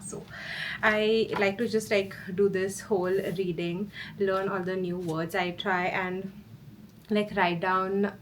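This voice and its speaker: Casual female lifestyle vlogger, mid-pitched voice, chatty and informal, with noticeable background noise in the recording. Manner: informal, chatty, frequent "like" as filler. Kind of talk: casual vlog chat.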